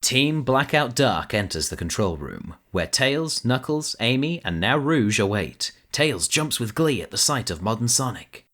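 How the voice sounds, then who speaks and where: A man's voice: mid-range voice, sing-song, measured pace, few pauses, fairly bright tone. A man reading scripted story narration for an audio drama as its narrator.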